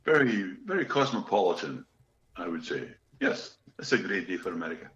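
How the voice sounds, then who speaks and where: A man's voice: low-pitched, very animated, fairly quick, fairly bright tone, a little hiss or hum. A middle-aged Scottish man, a guest musician, telling stories in a chatty, joking way. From Scotland. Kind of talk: casual interview chat. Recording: echoey room, dull lo-fi sound.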